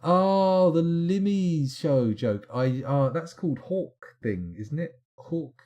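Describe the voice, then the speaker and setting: A man's voice: on the high side, expressive, takes it slowly, warm tone, highs cut off. A man, chatting and rambling while he streams a game late at night and answers his chat, sounding tired. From England. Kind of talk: casual live-stream chat.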